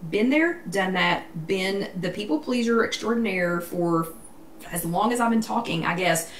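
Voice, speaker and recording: Woman vlog host, casual live chat, emphatic and repetitive, mid pitch, roomy sound from a distant mic. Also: measured pace. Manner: chatty, emphatic, repeats herself. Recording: echoey room, distant mic, faint noise.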